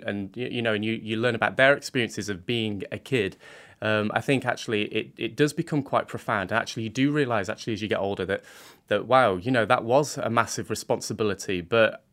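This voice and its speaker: A man speaking as a guest on a radio interview, earnest and halting, often restarting his sentences as he explains himself. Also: on the low side, fairly bright tone. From England. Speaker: guest, art student.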